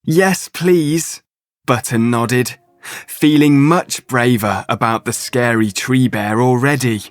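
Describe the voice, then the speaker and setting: A man narrating a children's bedtime story as a storyteller in a gentle, measured manner. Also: mid-range voice, steady tone, no room sound, clean studio sound. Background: music.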